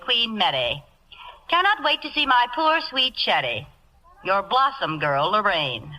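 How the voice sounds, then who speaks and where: An actress playing a wry, sharp-witted secretary with dry, sarcastic delivery in a radio comedy. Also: female voice, on the high side, full character voice, rough recording. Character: wry, sharp-witted female secretary, weary of her boss. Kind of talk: 1940s radio comedy acting.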